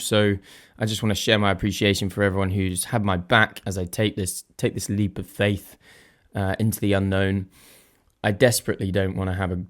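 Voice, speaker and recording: Croaky-voiced man hosting a chatty podcast. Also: fairly low pitch. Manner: chatty and self-deprecating, thinks aloud. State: slightly croaky voice. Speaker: podcast host. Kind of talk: casual solo podcast chat.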